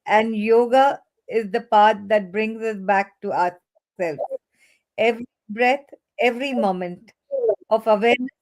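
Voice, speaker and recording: A woman's voice: on the high side, rough recording. A woman hosting an online webinar, giving earnest closing thanks to the guests. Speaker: host. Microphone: webinar video call.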